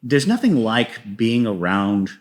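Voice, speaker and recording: A man's voice: on the low side, big pitch swings, takes it slowly. A man talking casually as a guest playwright in an interview, telling stories and slipping into quoted lines. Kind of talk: casual interview talk about own writing.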